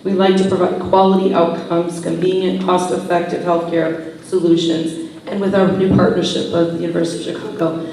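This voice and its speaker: Hoarse woman presenting in an echoey hall. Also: on the low side, level tone, fairly quick, dark tone. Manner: polished, promotional delivery. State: hoarse from a cold. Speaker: hospital occupational health presenter. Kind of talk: formal presentation at a public meeting. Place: village board meeting room. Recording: echoey hall, picked up from far off.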